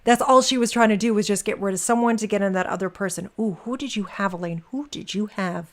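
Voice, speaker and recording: A woman's voice: mid-range voice, quick pace. A woman reading a transcript aloud, dropping in informal, wry asides as commentary. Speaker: reader and commentator.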